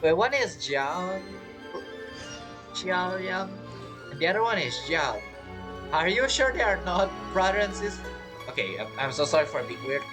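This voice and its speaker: A woman's voice: lowish voice, very animated, moderate pace, pauses often, over music. A woman giving casual, rambling let's-play commentary, reacting aloud as she plays. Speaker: game streamer.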